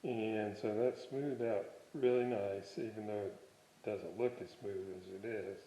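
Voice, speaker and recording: Slow-talking man musing in a roomy space. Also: lowish voice, fairly even intonation, dark tone. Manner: musing aloud, halting, thinking while working. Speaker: hobbyist presenter of a restoration video series. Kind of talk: casual hands-on workshop demonstration. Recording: dull, roomy home workshop recording.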